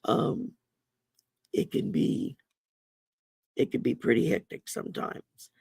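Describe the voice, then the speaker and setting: Slow gravelly woman. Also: sits low, sing-song, darkish tone, dry recording, clean studio sound. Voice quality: gravelly voice from damaged vocal cords. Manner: slow, folksy, chatty with many ums. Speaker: host and oracle card reader. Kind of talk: casual livestream chat. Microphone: computer mic on a livestream.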